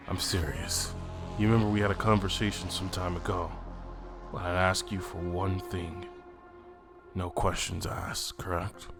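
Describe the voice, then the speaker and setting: A man acting as a weary anime fighter with a deep, gravelly voice. He talks in a casual, sardonic way, as someone keeping secrets. Faint music plays underneath. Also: flat delivery, slow pace, pauses often. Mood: solemn, pleading. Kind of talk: anime fan-fiction roleplay voice acting.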